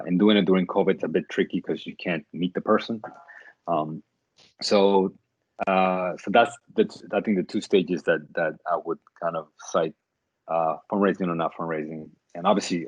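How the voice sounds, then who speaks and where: Very deep-voiced man, halting answers. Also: fairly even intonation, no room sound, muffled recording. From Dominican Republic. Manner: thoughtful, many fillers and restarts. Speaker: startup CEO, guest. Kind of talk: interview answers.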